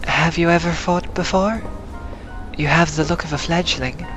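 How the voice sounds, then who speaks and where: A man's voice: on the high side, steady tone, normal speed, a bit bright, faint music behind, close to an everyday voice, poor audio. A man playing a grim alien veteran warrior in a sci-fi audio drama, speaking in a solemn, formal and blunt way. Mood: challenging. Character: grim alien veteran warrior, mourning his ravaged world.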